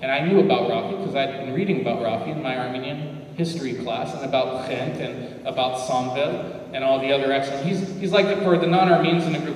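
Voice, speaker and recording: An American-born man on a forum panel, telling personal stories to an audience in a lively, direct way. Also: mid-range voice, a little hiss or hum. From United States. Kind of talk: storytelling talk to an audience. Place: large hall at a community forum. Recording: echoey hall from far off.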